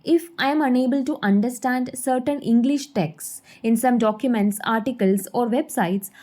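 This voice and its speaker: A woman's voice: fairly high pitch, moderate pace, mellow, roomy sound. A woman whose first language is Malayalam, teaching an English lesson and patiently demonstrating an app step by step. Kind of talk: video lesson.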